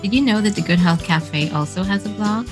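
A woman hosting a podcast, upbeat and quick to laugh as she interviews and closes the show. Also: mid-range voice, music in the background. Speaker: podcast host. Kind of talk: casual interview, show outro.

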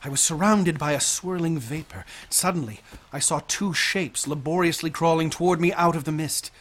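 An actor playing an obsessed young student in a radio drama, speaking intensely and articulately in formal phrases. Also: male voice, a little high, normal speed, fairly bright tone. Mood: haunted awe. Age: young adult. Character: obsessed, haunted young male student recounting eerie visions.

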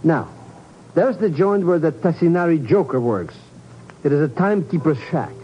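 Guy doing a comic slangy sidekick in a 50s radio show, very animated, muffled hissy old recording. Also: a little high, moderate pace, close to an everyday voice. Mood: cocky, chatty. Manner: slangy, muddled malapropisms. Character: comic, streetwise, excitable Casablanca sidekick with fractured English. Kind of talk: 1950s radio drama acting.

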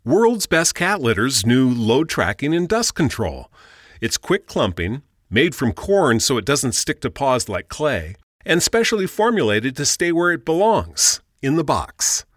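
A man's voice: mid-range voice, big pitch swings, measured pace, no echo, studio quality. A man doing a scripted commercial voice-over for a product. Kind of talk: scripted advertisement read.

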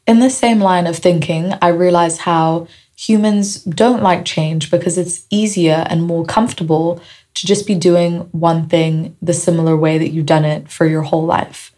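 A woman hosting her own podcast, her voice raspy and dry from a week of celebrating, talking in a chatty, reflective way with small jokes. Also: on the low side, fairly even intonation, normal speed, dark tone. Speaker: podcast host. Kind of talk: casual solo podcast talk. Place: seated indoors at a microphone.

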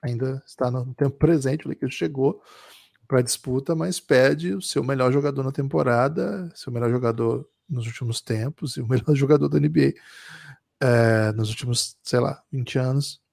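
A man with a gravelly, dark voice, recorded dry and close. He talks slowly and thoughtfully in a casual sports podcast. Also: mid-range voice, dry recording. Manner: measured, thinking aloud with many pauses. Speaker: podcast co-host.

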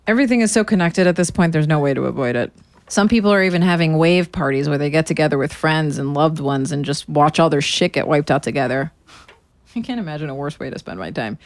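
A woman's voice: sits low, darkish tone, no room sound. An American woman in her thirties playing a sardonic woman narrating a confessional audio diary, dryly sarcastic and casually profane. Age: 30s.

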